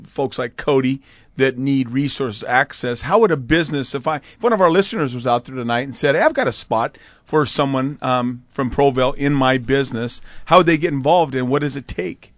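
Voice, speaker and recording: A man's voice: medium pitch, normal speed, no echo, dull audio. A man who is a physical therapist hosting a radio show, enthusiastic and full of agreement. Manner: affirming, enthusiastic. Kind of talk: radio interview.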